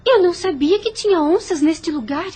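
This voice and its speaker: Audio novel role: young plantation mistress , female actor, Brazilian accent, high voice, earnest, formal and uneasy. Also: lively intonation, normal speed, darkish tone. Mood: nervous, alarmed. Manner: earnest, hesitant when uneasy. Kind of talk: audio drama acting.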